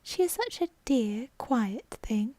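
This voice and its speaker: A woman's voice: on the high side, very animated, slow, dark tone, dry recording, exaggerated voice. A woman voicing a polite young girl from a storybook, eager and apologetic as she chatters. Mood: fond chatter.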